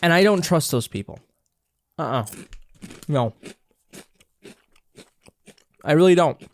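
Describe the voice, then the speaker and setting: A man's voice: a little high, lively intonation, normal speed, slight background noise. A congested man hosting a podcast, chatting casually and rambling with many restarts while he snacks. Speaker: podcast host. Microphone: own camera and mic, close and dry.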